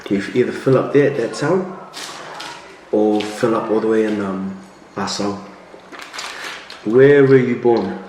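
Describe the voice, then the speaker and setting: A man's voice: on the low side, unhurried, pauses often, darkish tone, distant mic. Laid-back New man, off-mic. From New Zealand. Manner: laid-back, jokey, says bro a lot. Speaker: vlogger. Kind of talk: casual chat while eating. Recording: echoey room, a bit off-mic, faint hiss.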